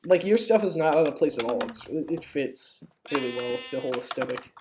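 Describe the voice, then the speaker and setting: A woman's voice: low voice, animated, a little fast. A young woman chatting casually and effusively in slang while playing a building game. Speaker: player in a multiplayer block-building game. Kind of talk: casual gaming chat, acted in a dramatic reading. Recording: noisy room, keyboard clicks.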